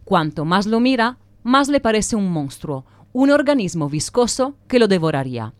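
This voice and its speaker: A woman's voice: medium pitch, sing-song, normal speed, dry recording. A woman narrating an audio drama with scripted, dramatic storytelling.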